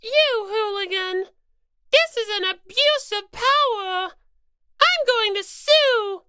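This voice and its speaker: Whiny little girl rabbit mascot, squeaky, extremely high, bright, plaintive, audiobook character. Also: lively intonation, takes it slowly, no room sound, studio quality, full character voice. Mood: pained, protesting. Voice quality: squeaky, childlike. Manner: plaintive, wailing, indignant.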